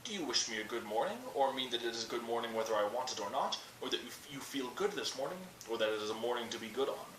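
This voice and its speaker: A man's voice: medium pitch, animated, quick pace, hardly any pauses, lightly acted. Man reading as a wry old wizard, roomy sound. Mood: quizzical. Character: wry old male wandering wizard, pedantic and direct. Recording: roomy, distant webcam mic with noise.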